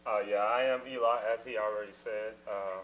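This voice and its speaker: A young man from Minnesota, co-hosting a casual church talk show, soft-spoken and trailing off quietly. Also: on the low side, steady tone, fairly quick, dull audio. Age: young adult. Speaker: co-host.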